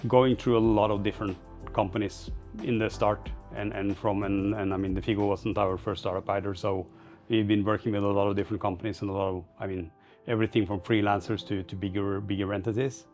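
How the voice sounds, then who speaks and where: A man's voice: fairly low pitch, flat delivery, a little fast, darkish tone, soft background music. A man giving informal interview answers as a startup founder, in a hesitant, loosely phrased, rambling way. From Norway.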